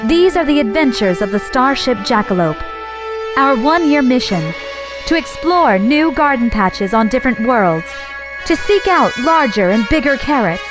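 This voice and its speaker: A woman's voice: sits high, expressive, a little slow, over music. A woman reading scripted opening narration for a sci-fi parody audio drama. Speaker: intro narrator.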